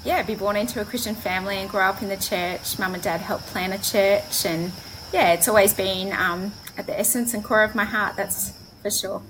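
A woman's voice: medium pitch, fairly even intonation, normal speed, fairly bright tone, poor audio. An Australian woman, a missionary guest, casually telling her own story in an interview. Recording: clear background noise.

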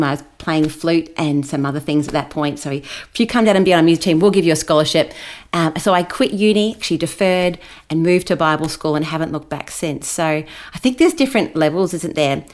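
A chatty woman talking as a guest in a relaxed interview. Her voice sits a little low, and she talks in an animated way and laughs easily. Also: a little fast. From New Zealand, raised in Australia. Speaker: guest, children's Bible story host. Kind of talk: casual interview chat.

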